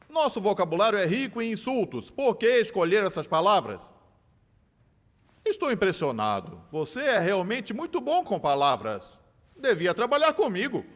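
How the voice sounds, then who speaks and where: An actor dubbing a sharp, persistent lawyer who cross-examines a witness with measured, theatrical questions. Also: male voice, high voice, big-room sound. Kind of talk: dubbed film courtroom drama.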